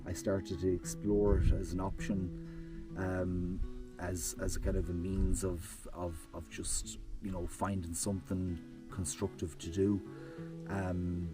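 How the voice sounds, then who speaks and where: A young Irish man from the rural west of Ireland, talking reflectively in a casual interview with frequent restarts and fillers, recorded outdoors on a hillside. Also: low voice. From Ireland (rural Galway). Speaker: interview guest. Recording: distant mic with loud music bed.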